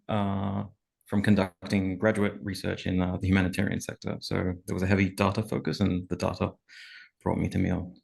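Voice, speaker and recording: A man's voice: sits low, vocal fry, a little mellow. A man introducing himself on a webinar panel over a video call, hesitant with many fillers. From Australia. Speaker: panelist, program monitoring manager.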